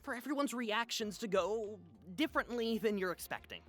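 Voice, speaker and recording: Woman as a bright, tiny, hesitant creature sidekick. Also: on the high side, expressive, moderate pace, soft background music. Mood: cautious, tactful. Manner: hesitant, tactful. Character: tiny, cautious male magical companion creature. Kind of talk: visual novel character acting.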